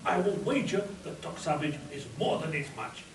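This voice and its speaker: A man's voice: a little high, moderate pace, natural voice. Self-assured lawyer sidekick played by a man, echoey. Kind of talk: live spoof radio play acting. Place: convention hall before a live audience. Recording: echoey distant mic, audience noise.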